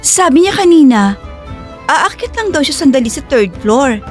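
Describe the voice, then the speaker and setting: A woman's voice: high voice, sing-song, normal speed, soft background music. A woman playing an earnest, caring young professional woman in an emotive, sincere audio drama performance. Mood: concerned, gentle. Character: Yurika, earnest, caring self-made young woman dentist. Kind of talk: audio drama acting in Tagalog-English.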